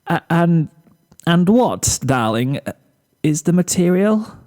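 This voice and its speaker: A man's voice: fairly high pitch, sing-song, slow, darkish tone, pitched above the performer's own voice. A man voicing a prim, fussy young female unicorn with affected, dramatic politeness in a story reading. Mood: reluctant pity.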